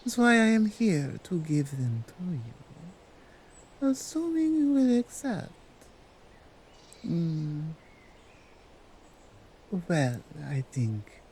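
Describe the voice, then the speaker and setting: A woman voicing a dominant yet tender female Krampus in an ASMR roleplay, with a Nordic accent and halting, deliberate broken English. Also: sits low, mellow, slight background noise, full character voice, low-quality recording. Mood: sympathetic. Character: dominant, tender, seductive female Krampus, otherworldly gift-bringer.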